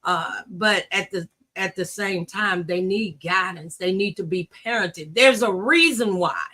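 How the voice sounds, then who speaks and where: Bright-voiced woman hosting a talk show. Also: mid-range voice, measured pace. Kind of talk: conversational interviewing.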